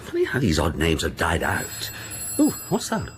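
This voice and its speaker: Very animated, breezy friend. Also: male voice, deep voice, normal speed, noisy background. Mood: breezy, amused. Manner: exuberant, teasing. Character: jovial male actor friend, curious and breezy.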